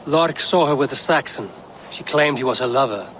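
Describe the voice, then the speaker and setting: An actor playing a commanding, stern Viking raider in a video game, with a measured, authoritative manner. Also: male voice, medium pitch, fairly bright tone, a little hiss or hum, natural voice. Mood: firm.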